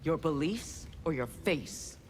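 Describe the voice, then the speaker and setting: Scornful, haughty armored fighter. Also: female voice, deep voice, animated, takes it slowly, slight background noise. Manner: scornful, dry. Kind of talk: video game fighter dialogue.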